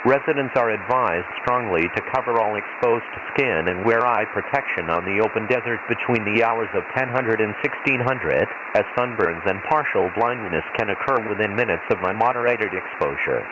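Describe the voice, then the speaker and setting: Guy doing a desert planet weather radio announcer, kinda low voice, formal and impassive, lots of static hiss on the radio. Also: highs cut off. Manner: formal, measured, impersonal. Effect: radio transmission filter. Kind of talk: scripted emergency weather radio bulletin. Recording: hissy, noisy shortwave-style radio broadcast.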